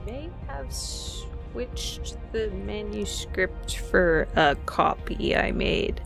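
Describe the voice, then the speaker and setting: A woman's voice: mid-range voice, expressive, extremely slow, gravelly. A woman improvising in-character dialogue as a tabletop role-player, in a colloquial, rambling way. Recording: music bed behind the voice. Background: background music.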